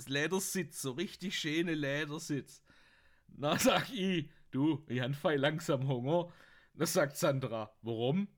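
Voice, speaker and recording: A man's voice: fairly high pitch, fairly bright tone. A young man telling rambling anecdotes casually, often laughing at his own stories. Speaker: podcast host.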